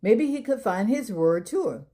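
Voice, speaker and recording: A woman hosting a children's storytime, reading aloud in an expressive way as if talking straight to young listeners. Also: fairly high pitch, measured pace. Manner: expressive, addressing children directly. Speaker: storytime reader and host. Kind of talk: children's read-aloud narration.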